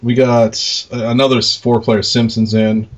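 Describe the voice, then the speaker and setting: A man co-hosting a podcast in casual chat, informal and halting, often trailing off. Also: medium pitch, level tone, slight background noise. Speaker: podcast co-host, arcade operator.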